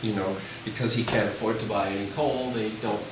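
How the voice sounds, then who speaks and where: A man casually explaining a puppet show in a halting, informal way. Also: fairly low pitch, steady tone. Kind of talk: casual explanation to camera visitor. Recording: echoey room, distant mic, loud background noise.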